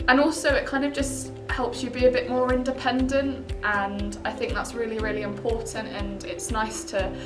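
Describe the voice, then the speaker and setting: Upbeat young woman, slightly high voice. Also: flat delivery, normal speed, hardly any pauses. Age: young adult. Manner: upbeat, many "kind of" fillers. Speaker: physiotherapy student. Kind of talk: casual talk to camera. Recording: roomy, distant mic, music behind.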